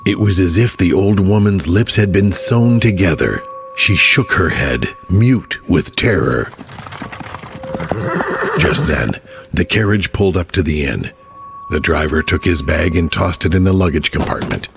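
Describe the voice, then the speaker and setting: A man narrating a scripted horror audio drama in an ominous, deliberate manner. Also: sits low, creaky, music in the background. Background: ambience, horse hooves.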